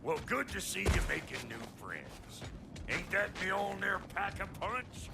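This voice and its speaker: Blunt jocular dwarf smith for fantasy game: gravelly, folksy, wildly swinging pitch, noisy background. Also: male voice, sing-song, unhurried, exaggerated voice. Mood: jocular, chatty. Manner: folksy, chatty. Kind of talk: video game voice acting.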